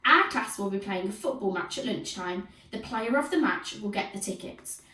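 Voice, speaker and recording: A woman's voice: mid-range voice, expressive, normal speed, sharp tone, reverberant, far from the mic. A woman voicing a stern, bossy, snappish schoolteacher in a children's storybook. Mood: firm, authoritative. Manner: snapping, bossy, bellowing at pupils. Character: stern, snappish schoolteacher, a fearsome scowling battleaxe.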